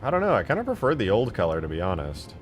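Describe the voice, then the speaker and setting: A man's voice: fairly low pitch, huge pitch swings, racing, soft background music. A man live streaming while he works, casually thinking aloud and muttering questions to himself. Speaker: game modding live streamer.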